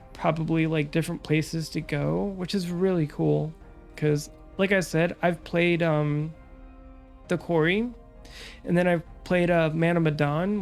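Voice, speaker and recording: A young woman VTuber chatting calmly about her stream plans, her nose stuffed up from a cold, with lots of fillers. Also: low voice. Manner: calm, relaxed, rambling with many fillers. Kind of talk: casual stream chat.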